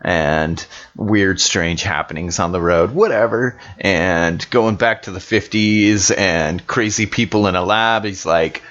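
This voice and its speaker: A man's voice: low voice, a little slow, fairly bright tone, highs cut off. A man with a laid-back, slangy way of talking, improvising as a player in a tabletop roleplaying game.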